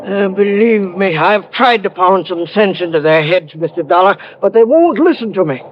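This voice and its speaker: An actor playing a suspicious older small-town newspaper editor in a radio drama, agitated and sputtering. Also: male voice, sits high, lively intonation, normal speed. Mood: exasperated. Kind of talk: 1950s radio drama acting. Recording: dull vintage radio recording.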